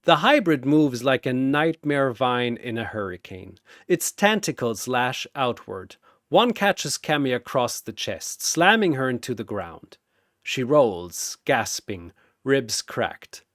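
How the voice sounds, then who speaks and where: A man's voice: mid-range voice, expressive, takes it slowly, a bit bright, no echo, studio quality. A man telling a scripted battle story in a theatrical, intense and emphatic narrator's style. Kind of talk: dramatic scripted fight narration.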